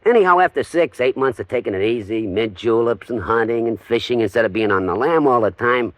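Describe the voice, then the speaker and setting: An actor playing a middle-aged American reformed mobster who sells insurance, talking in streetwise slang in old radio drama style. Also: male voice, medium pitch, lively intonation, brisk, no room sound, close to an everyday voice. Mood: chatty, persuasive. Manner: slangy, streetwise wise-guy talk. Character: aging reformed mobster turned small-time insurance salesman. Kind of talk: 1950s-60s American radio drama acting.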